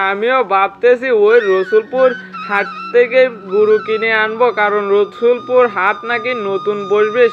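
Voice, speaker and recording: A practical village man from a cartoon, played by an actor with a notably high voice. He explains matter-of-factly on a dull recording with faint music behind him. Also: natural voice. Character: practical village man, cattle buyer and paid animal doctor. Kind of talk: cartoon dialogue.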